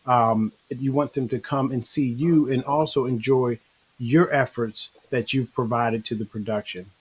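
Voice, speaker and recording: Laid-back dude hosting a video call chat, says bro a lot. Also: male voice, medium pitch, steady tone, a little slow, breathy edge, poor audio. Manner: loose and conversational, says bro and you know. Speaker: online show host. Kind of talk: casual interview hosting.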